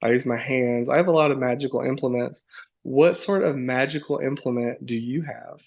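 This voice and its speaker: A man's voice: mid-range voice, normal speed, vocal fry, highs cut off. A man chatting as a podcast guest, upbeat and playful, who slips into grand mock-formal wizard talk and laughs often. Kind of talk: enthusiastic casual chat.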